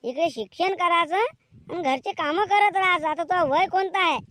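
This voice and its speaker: Someone acting a high-voiced, grumbling cartoon village father. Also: moderate pace, bright, forceful tone. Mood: scolding. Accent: rural Maharashtrian Marathi. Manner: scolding, sarcastic. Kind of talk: comedic cartoon skit dialogue.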